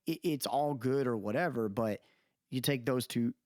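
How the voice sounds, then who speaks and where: A man's voice: medium pitch, moderate pace, darkish tone, no room sound. Male podcast co-host, mid-range voice, loose rambling opinion talk, dry recording. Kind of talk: casual podcast chat, opinionated music commentary.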